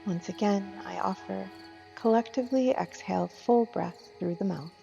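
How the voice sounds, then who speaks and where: A woman leading a guided meditation as a yoga teacher, gentle and soothing, with soft phrasing and long unhurried pauses. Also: mid-range voice, vocal fry. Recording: muffled, long reverb, music and insect sounds.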